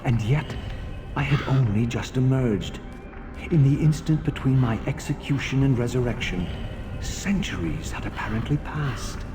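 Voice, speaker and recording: A man voicing a proud, vengeful undead vampire in a video game, brooding and bitter, with measured, grandiose, disdainful theatrical delivery. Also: medium pitch, music in the background, close to an everyday voice. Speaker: protagonist narrator. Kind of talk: dramatic scripted game narration.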